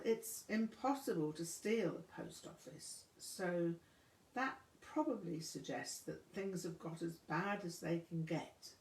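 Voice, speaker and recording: A woman voicing a relaxed, witty teenage English boy with an easy, thoughtful charm. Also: fairly low pitch, echoey room, rough recording.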